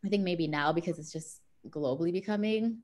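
Relaxed, rambling woman in her thirties. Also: lowish voice, fairly quick. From Zambia. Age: 30s. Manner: relaxed, rambling, many "like" fillers. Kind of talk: casual chat.

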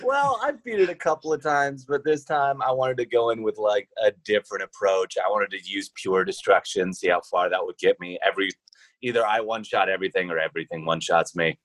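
A man's voice: fairly low pitch, brisk, fairly bright tone, no echo, rough recording. A man talking casually as a podcast guest, using informal gamer slang. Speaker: podcast guest, tabletop role-playing show creator. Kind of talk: casual interview chat.

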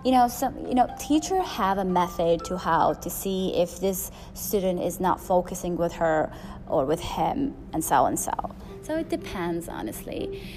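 Loud-voiced woman podcaster over music. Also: fairly low pitch, very animated, moderate pace. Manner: rambling, frequent fillers and restarts. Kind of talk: solo podcast monologue. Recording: music playing behind.

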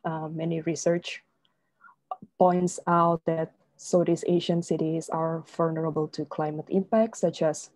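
A woman from Indonesia, a researcher answering interview questions in a measured way with many filler pauses. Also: low voice, flat delivery, darkish tone, no room sound. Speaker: guest researcher.

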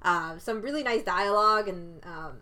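Giggly bright-voiced woman chatting about films. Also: medium pitch, moderate pace. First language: Cantonese. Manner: chatty, laughs often, many restarts. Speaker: film review co-host. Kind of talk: casual chat.

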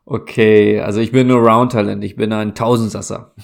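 A man speaking with a German accent, playing a guessing game in casual chat, slow and hesitant, thinking aloud with many fillers. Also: on the low side, flat delivery, gravelly.

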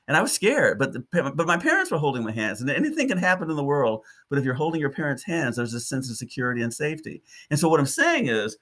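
An older man from Detroit reflects on his life as an interview guest. His voice sits at an ordinary middle pitch, and he tells his stories with vivid, lyrical phrasing and frequent restarts. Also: fairly bright tone. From Detroit, United States. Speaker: park ranger, podcast guest.

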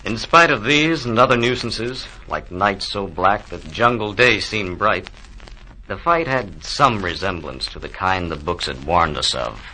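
An actor playing a sardonic dead Marine who recites wry verse in 1940s radio acting style. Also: male voice, low-pitched, expressive, unhurried. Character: dead US Marine, sardonic veteran of jungle fighting. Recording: faintly noisy 1940s radio recording.

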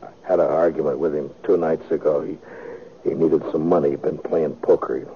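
A man playing an anguished middle-aged father with a rural American accent in a 1950s radio western, halting and folksy. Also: extremely low pitch, steady tone. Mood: halting, guilty. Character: anguished, protective old-West father, plain country man. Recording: dull, noisy old radio recording.

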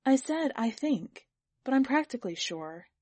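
A woman's voice: high-pitched, big pitch swings, moderate pace, darkish tone, no room sound, voice pushed up. A woman reading the part of a put-upon young wife in a story, earnest and pleading under strain. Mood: timid, placating. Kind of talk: dramatic audiobook character reading.

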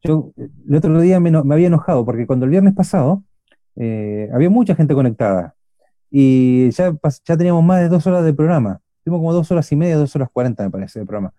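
A middle-aged man with an Argentine accent hosts a casual radio chat. He has a very dark, mellow voice and keeps circling back with fillers and restarts. The recording is dry and close. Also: fairly high pitch, expressive, quick pace, no echo. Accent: Argentine Spanish. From Argentina, Buenos Aires. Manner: rambling, many restarts and fillers. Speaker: radio show host.

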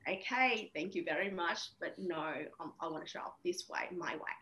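A woman from Vietnam, Vietnamese her first language, speaking reflectively as an interview guest with many pauses and fillers. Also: fairly low pitch, bright, forceful tone, slight background noise. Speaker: interview guest, women's coach. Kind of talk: casual interview.

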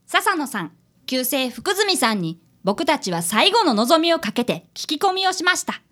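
A woman's voice: high-pitched, sing-song, normal speed, a bit bright. A woman in a radio drama playing an earnest child apprentice detective who narrates the case. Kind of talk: scripted radio drama acting.

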